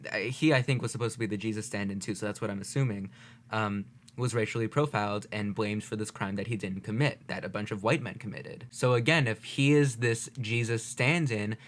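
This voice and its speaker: A man's voice: lowish voice, normal speed. A man giving casual, analytical reaction commentary, explaining his points articulately in a conversational way. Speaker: video host, music reactor.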